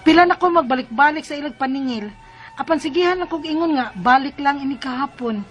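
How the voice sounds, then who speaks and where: An actress playing a hopeful, upbeat young wife who sells on credit, in Bisaya radio drama style with a Cebuano accent. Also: female voice, sits high, fairly quick, soft background music, natural voice. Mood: dismayed.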